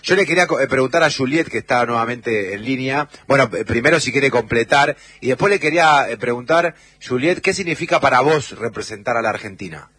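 A man co-hosting a radio sports show who speaks hesitantly with many fillers. His voice is bright and husky, and it sounds dry and close to the mic. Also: mid-range voice, animated, brisk, few pauses, no room sound. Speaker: radio co-host.

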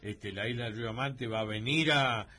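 A man's voice: mid-range voice, a little fast, bright, forceful tone, breathy edge. A man hosting a radio talk show, thinking aloud with many hesitations and fillers. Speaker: radio host.

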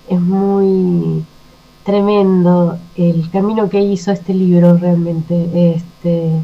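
A middle-aged woman with an Argentine accent, answering reflectively in a conversational interview, pausing and searching for words. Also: lowish voice. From Argentina, Buenos Aires province. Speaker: interview guest, poet. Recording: muffled lo-fi with mains hum.

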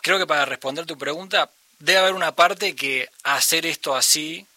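A man with an Argentine accent, a musician talking thoughtfully in an interview and often pausing to search for the right word. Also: fairly high pitch, sharp tone, dry recording. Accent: Argentine Spanish. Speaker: musician guest. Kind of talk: reflective conversational interview.

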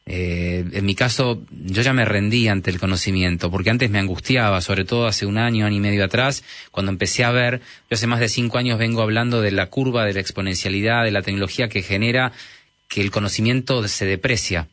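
Man, mild Argentine Spanish accent, low-pitched, dry recording, conversational, hesitant. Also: fairly even intonation, a little fast, fairly bright tone, no echo. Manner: hesitant, many fillers and restarts. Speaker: technology guest. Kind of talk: conversational interview.

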